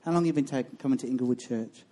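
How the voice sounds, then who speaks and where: A man's voice: medium pitch, very fast, warm tone, a little hiss or hum. A man hosting a church interview on stage, encouraging and reassuring his guests.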